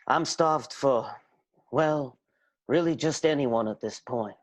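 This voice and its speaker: An actor playing a smitten, hammy strongman suitor, halting and flustered, in an improvised comic radio soap. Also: medium pitch. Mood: flustered, eager.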